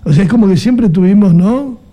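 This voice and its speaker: A man's voice: high voice, animated, brisk, dark tone. A middle-aged man with an Argentine Spanish accent, interviewing a guest in a chatty, musing way, often ending thoughts with a questioning tag. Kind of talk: conversational interview.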